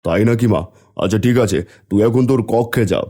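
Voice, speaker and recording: A man's voice: lowish voice, brisk, mellow, natural voice. A man voicing a fatherly king in a cartoon fairy tale, theatrical and emphatic. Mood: puzzled, fond.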